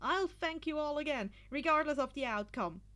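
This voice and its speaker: A woman's voice: sits high, animated, moderate pace, sharp tone, voice pushed up. A woman voicing an earnest, determined teenage boy who leads his team with sincere urgency in an anime fan story. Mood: grateful.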